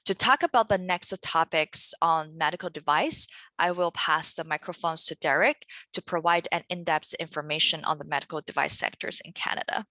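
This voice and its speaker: Bright, dry-sounding woman presenting. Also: low voice, no room sound. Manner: careful, halting delivery with um fillers and restarts. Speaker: trade presenter. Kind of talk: webinar presentation, read from slides.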